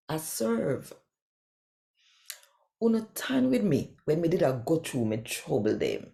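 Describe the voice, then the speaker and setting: Jamaican female devotional host, storyteller delivery, creaky voice, slow measured pace. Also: sits low, mellow. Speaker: host and scripture reader. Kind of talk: devotional reading in Jamaican Patois.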